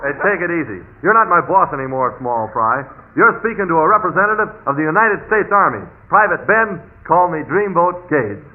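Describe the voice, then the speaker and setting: An actor playing a brash, lovesick army private, cocky and sentimental, in 1940s radio comedy. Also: male voice, a little high, lively intonation, a little fast, close to an everyday voice. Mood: cocky, combative. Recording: tinny, noisy 1940s radio broadcast.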